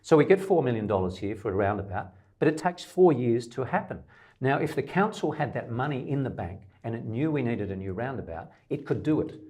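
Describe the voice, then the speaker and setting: A man's voice: mid-range voice, expressive, brisk, darkish tone. An Australian man, a middle-aged independent candidate, answering interview questions emphatically in long lists, with some stammered restarts.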